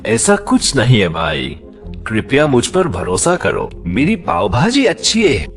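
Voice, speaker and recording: A man's voice: medium pitch, wildly swooping pitch, a little slow, faint music behind. A man voicing a humble, polite street food vendor in a cartoon story, speaking earnestly. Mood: anxious, pleading. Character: humble pav bhaji street vendor, friendly, easily tempted man.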